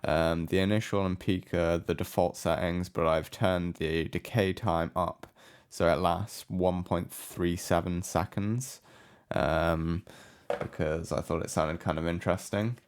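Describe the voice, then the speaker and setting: A man giving a casual, unscripted software walkthrough as a tutorial presenter, low-key and hesitant in manner. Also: fairly even intonation, measured pace, noisy background. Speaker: music production tutorial presenter.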